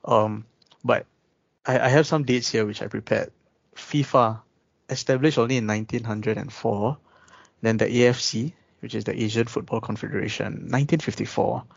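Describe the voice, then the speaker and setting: A man talking through ideas in a casual discussion, measured and pausing often with ums. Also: on the low side, fairly even intonation, gravelly, dry recording. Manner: measured, thinking aloud with many ums. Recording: dull dry recording.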